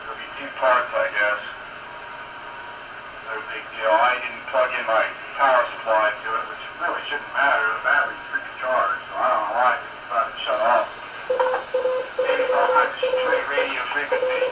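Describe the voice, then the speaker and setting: A man's voice: medium pitch, steady tone, normal speed, reverberant, recorded from a distance, noisy background. A man chatting casually and technically as a hobbyist showing off his equipment.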